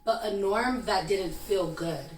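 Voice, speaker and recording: A woman from Haiti whose first language is Creole, chatting as an interview guest in a talkative, animated way with frequent laughs. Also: medium pitch, a little slow, roomy sound, distant mic, slight background noise, low-quality recording. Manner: chatty, laughs often, animated when storytelling. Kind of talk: conversational interview.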